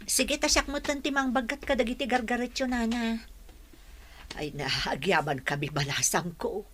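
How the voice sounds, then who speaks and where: A woman's voice: on the high side, moderate pace, fairly bright tone. A woman playing a caring older village mother in a radio drama, coaxing and advising. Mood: motherly, grateful.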